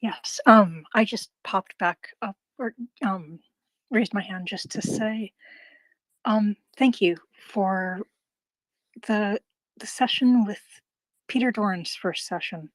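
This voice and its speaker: A woman's voice: medium pitch, slow pace, no room sound, low-quality recording. Rambling woman with many ums. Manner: rambling, full of fillers and restarts. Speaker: listener asking a question. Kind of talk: casual chat in an online audio room.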